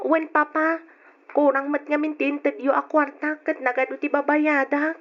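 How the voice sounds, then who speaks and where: Woman playing a very high, whiny little girl. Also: little pitch movement, close to an everyday voice. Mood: apologetic. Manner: whining, drawn-out pleading. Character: whiny suffering young girl, crying to her parents. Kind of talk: old-style Ilocano radio drama acting. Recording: tinny, band-limited old radio recording.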